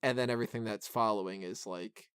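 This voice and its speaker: A man's voice: lowish voice, steady tone, quick pace, a bit bright, clear, resonant tone, no room sound, studio quality. A man hosting a film review podcast, talking casually and giving opinions in a rambling, self-interrupting way full of "like".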